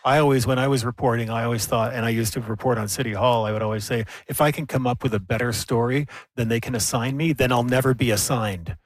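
Man, radio host, relaxed and chatty, dry studio sound. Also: medium pitch, fast talker, few pauses, no room sound. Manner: relaxed, chatty, with many fillers. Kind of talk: live radio interview.

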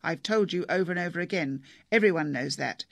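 A man playing a calm, insistent politician. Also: on the high side, fairly quick, creaky, no echo.

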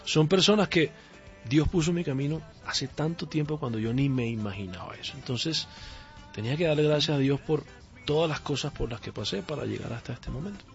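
A man's voice: a little high, brisk, gravelly. Upbeat Caribbean coastal man on radio. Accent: Caribbean coastal Spanish. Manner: upbeat, laughs easily, reflective and grateful. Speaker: guest singer. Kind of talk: radio interview. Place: radio studio. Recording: slightly muffled radio studio with faint music.

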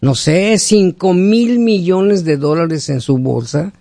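A man's voice: high voice, slow pace, mellow, no room sound, clean studio sound. A man hosting a radio call-in show, chatting casually in a folksy, talkative way and often asking rhetorical questions. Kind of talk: casual opinionated on-air chat with callers.

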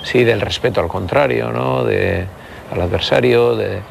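Older guy being interviewed, gravelly voice, lots of ums and restarts, traffic noise around. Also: mid-range voice, unhurried. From Basque Country, Spain. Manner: reflective, many hesitations and restarts. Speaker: politician guest. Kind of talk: conversational interview.

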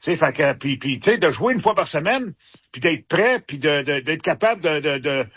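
A man's voice: a little high, lively intonation, moderate pace, a bit bright, husky. A man with a Quebec French accent, a former hockey player chatting casually as a sports commentator, rambling with many restarts and fillers. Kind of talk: casual radio sports chat. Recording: muffled radio broadcast.

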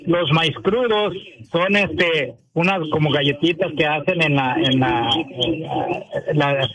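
A man with a northern Mexican accent phoning in to a radio show, speaking in a folksy, halting way and often checking he is understood, with radio sound behind him. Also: a little high, a crowd in the background, low-quality recording. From Durango, northern Mexico. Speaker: radio caller. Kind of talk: casual call-in chat. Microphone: phone call to a radio show. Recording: radio playing back behind the call.

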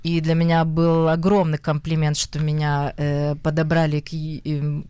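A young woman from the former Soviet Union, an actress, talking in an interview with many pauses and fillers. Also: low-pitched, monotone, clear, resonant tone, no room sound, studio quality. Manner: hesitant, many fillers, warming to her story. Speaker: actress, guest. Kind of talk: conversational interview.

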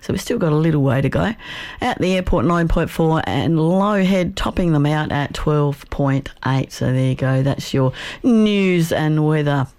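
A woman with an Australian accent presenting on community radio, relaxed and chatty, her voice croaky today. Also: deep voice, moderate pace. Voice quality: gravelly. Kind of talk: casual live radio links.

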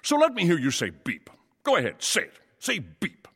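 A man's voice: on the high side, huge pitch swings, normal speed, creaky, fairly bright tone, slightly rough, no echo, studio quality, heavily acted. An actor playing a pompous, proud father swan in a children's story, with a resonant voice and flowery, oratorical delivery. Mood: solemn coaxing.

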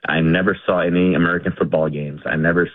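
Rambling excited male caller on phone. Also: deep voice, fast talker. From India. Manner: rambling, repeats himself, excited. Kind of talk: call-in radio chat. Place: home garage. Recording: tinny phone line.

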